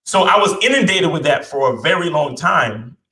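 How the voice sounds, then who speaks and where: A middle-aged man, born in Jamaica and raised in Brooklyn, talking as a guest in a relaxed, humble way with many fillers and restarts. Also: fairly high pitch, normal speed, echoey room, rough recording. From born in Jamaica, raised in Brooklyn, New York. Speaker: podcast guest, dating coach. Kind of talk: casual interview chat.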